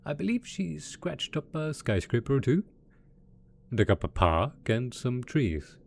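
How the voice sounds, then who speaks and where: A man's voice: medium pitch, very animated, unhurried, gravelly, full character voice. A man playing a gleeful, smug and possessively doting mad scientist supervillain boss in a theatrical, playful roleplay audio drama. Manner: theatrical, playful, mock-innocent, chuckling.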